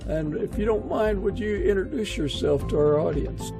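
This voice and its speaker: Higher-pitched man hosting a podcast, music behind. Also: animated, normal speed, creaky. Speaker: podcast host. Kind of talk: podcast introduction and casual interview.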